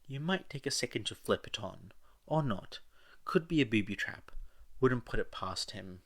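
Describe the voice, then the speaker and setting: A man's voice: lowish voice, expressive, normal speed. A man voicing an irritable, exhausted young villain leader. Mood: sarcastic.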